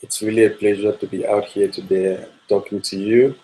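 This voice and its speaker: A man from Nigeria, a football coach giving a measured talk on his coaching philosophy with frequent fillers. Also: lowish voice, level tone, dark tone, slight background noise, low-quality recording.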